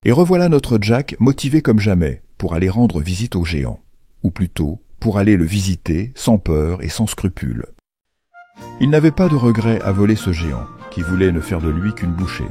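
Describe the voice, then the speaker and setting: Man, narrator, deep pitch, animated melody, theatrical, playful, children's fairy tale. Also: normal speed, husky, no room sound, clean studio sound. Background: music under the voice.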